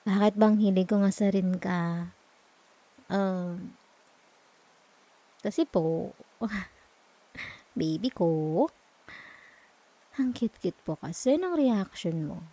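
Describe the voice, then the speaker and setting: A woman with a Filipino accent playing a sweet, teasing, comforting girlfriend in a soft, intimate ASMR roleplay. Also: medium pitch, slow pace, pauses often. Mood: affectionate. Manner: soft, tender, playful, soothing.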